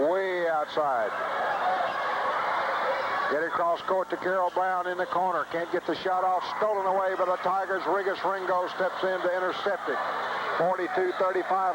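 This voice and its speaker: A man giving live high school basketball radio play-by-play in clipped, excitable phrases on an old local broadcast recording. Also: heavy background noise.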